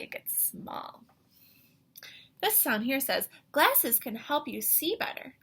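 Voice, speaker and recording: A woman reading a picture book aloud to young children like an excited teacher, with animated wonder, questions and asides in a breathy voice. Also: fairly high pitch.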